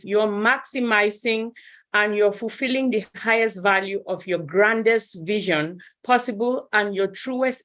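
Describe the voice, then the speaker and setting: A woman's voice: mid-range voice, a bit bright. A woman giving an earnest, effusive inspirational tribute as a participant in an online meeting.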